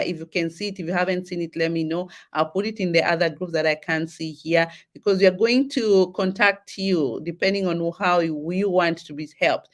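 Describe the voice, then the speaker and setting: A woman hosting an online talk show, encouraging her audience and often restarting her sentences. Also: lowish voice.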